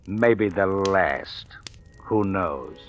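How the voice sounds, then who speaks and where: A man's voice: low voice, expressive, very slow, full character voice. An actor playing a sardonic hired killer who needles his captive in slangy 1940s radio gangster style. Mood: sardonic taunting. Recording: old-time radio recording, faint music.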